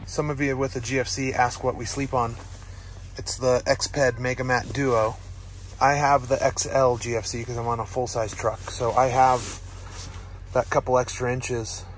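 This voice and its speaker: A man in his thirties walks through his camping gear in an easy, matter-of-fact way, as if talking to a friend. His voice sits in a middle pitch, and it is recorded outdoors on a handheld camera. Steady outdoor noise runs clearly behind him. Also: normal speed. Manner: relaxed, matter-of-fact show-and-tell with fillers. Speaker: overland camping vlogger. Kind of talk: casual vlog narration. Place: outdoors at a forest campsite.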